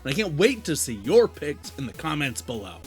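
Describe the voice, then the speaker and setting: A man delivering a scripted video game review as the show's host, with emphatic, deliberate phrasing. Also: fairly high pitch, sing-song. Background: background music.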